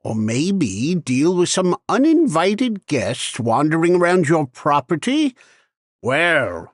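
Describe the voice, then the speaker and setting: An actor voicing a chatty, wry male shopkeeper in a video game, with a theatrical, deliberate sales patter. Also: sits high, no echo, clean studio sound, exaggerated voice. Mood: welcoming, salesmanlike. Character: chatty male shopkeeper, wry, easily annoyed arms trader. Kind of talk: scripted video game character lines.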